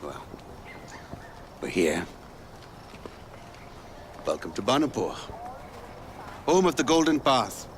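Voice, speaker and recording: An actor playing a commanding, brotherly but impatient rebel leader in a video game cutscene. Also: male voice, mid-range voice, very animated, slow pace, pauses often. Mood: steady, grave. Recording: game soundtrack and noise behind.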